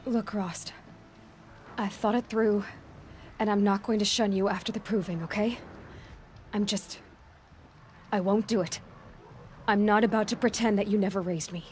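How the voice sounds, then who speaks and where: Woman acting determined young game huntress, mid-pitched. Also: fairly even intonation, moderate pace, long pauses, darkish tone, close to an everyday voice. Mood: determined, defiant. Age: young adult. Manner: earnest, resolute, direct. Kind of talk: naturalistic video game acting. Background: faint background music.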